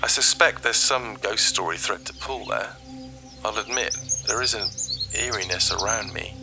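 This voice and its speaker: A man playing a curious professor who muses aloud, pausing as he records field notes in an audio drama. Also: fairly low pitch, close to an everyday voice. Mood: curious, musing. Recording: music and birdsong behind voice.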